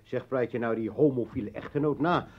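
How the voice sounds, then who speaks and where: An actor as mocking, volatile militant, distant and echoey. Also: male voice, mid-range voice, a little fast, natural voice. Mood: jeering. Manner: mocking, sardonic, theatrical. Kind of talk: Dutch radio play acting. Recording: echoey, distant, dull, faintly noisy radio recording.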